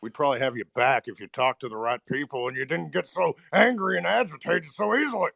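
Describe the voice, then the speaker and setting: A man's voice: on the high side, fast talker, creaky, fairly bright tone, slightly rough, no echo. A man calling in to a show and chatting casually. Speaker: caller. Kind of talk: casual chat.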